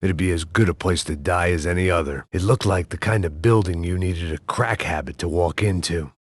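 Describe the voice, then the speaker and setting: An actor playing a weary, cynical middle-aged ex-cop who narrates in a deadpan, bitter, self-mocking way. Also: male voice, low-pitched, brisk, husky, no room sound. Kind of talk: hard-boiled video game narration.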